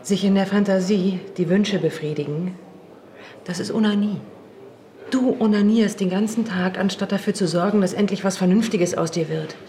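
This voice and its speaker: An actress playing a blunt, reproachful sister, a surgeon who lectures bluntly in a radio play. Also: female voice, mid-range voice, normal speed, dark tone, natural voice. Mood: scolding. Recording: roomy, with music.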